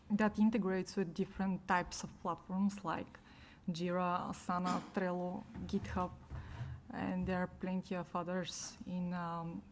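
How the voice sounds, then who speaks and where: A young woman answering on a conference panel in a steady delivery with fillers, into a table microphone. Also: on the low side, slight background noise. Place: conference room on a panel stage.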